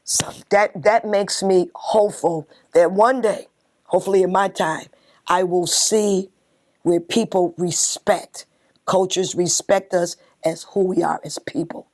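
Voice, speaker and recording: A woman's voice: lowish voice, fairly even intonation, unhurried, a little mellow. An older woman giving her reflections in an interview.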